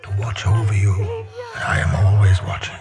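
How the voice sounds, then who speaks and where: An actor playing a commanding totalitarian leader in an audio drama, declaiming grandly to the masses. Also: male voice, on the low side, flat delivery, moderate pace, natural voice. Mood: grandiose, commanding. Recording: long hall reverb, loud music and crowd.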